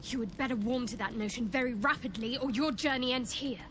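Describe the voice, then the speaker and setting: A woman's voice: on the high side, brisk, a bit bright, a little hiss or hum, close to an everyday voice. An actress playing a guarded, cutting young adventurer woman in a video game, with a dry, wry delivery. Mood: stern, persuasive.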